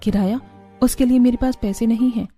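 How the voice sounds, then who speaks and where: A woman's voice: on the high side, fairly even intonation, brisk, mellow, husky, faint music behind. A woman playing a hardworking, patient village daughter-in-law and mother in a cartoon story. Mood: earnest.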